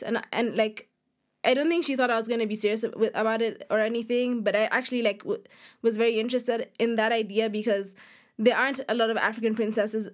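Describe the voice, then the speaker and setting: Young female author guest: slightly high pitch, casual, informal, youthful conversational delivery. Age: young adult. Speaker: author being interviewed. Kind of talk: casual conversational interview answers.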